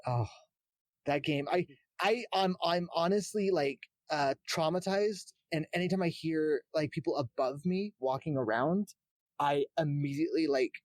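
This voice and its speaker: A man chatting casually as a podcast co-host, often pausing to search for his words. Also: a little high, a little mellow, no echo. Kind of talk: casual chat about horror games and films.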